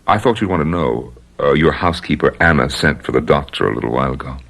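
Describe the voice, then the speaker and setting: A very deep-voiced villain from an old radio play. He is a cold, deliberate torturer who stays courteous while he threatens his captives. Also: animated, gravelly, a bit bright, heavily acted. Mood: smoothly menacing. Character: cold, menacing male torturer demanding a secret formula. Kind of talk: old-time radio drama acting.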